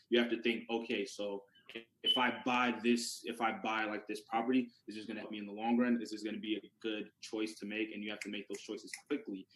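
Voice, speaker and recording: A man's voice: fairly low pitch, fairly bright tone, echoey room, a little hiss or hum, low-quality recording. A young man whose first language is Yoruba, giving a casual classroom presentation as a student presenter and talking it through with rhetorical questions. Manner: conversational, restarts words, asks rhetorical questions.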